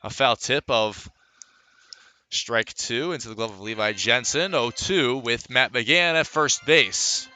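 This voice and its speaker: A man's voice: mid-range voice, slow pace, bright, forceful tone. An American man calling a baseball game as a live radio play-by-play broadcaster. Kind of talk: live radio sports commentary.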